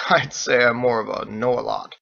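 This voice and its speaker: Man playing a wry, gravelly, courtly sea captain. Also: medium pitch, fairly even intonation, pushed and bright, highs cut off. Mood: smug. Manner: wry, formal, unhurried phrasing. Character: Captain, male seafarer, wry, courtly, smug, self-assured schemer. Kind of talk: character voice in audiobook narration.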